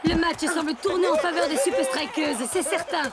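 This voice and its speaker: A woman's voice: extremely high pitch, fairly quick, over a noisy crowd. A woman voicing a composed football co-commentator in a French-dubbed cartoon. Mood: engaged.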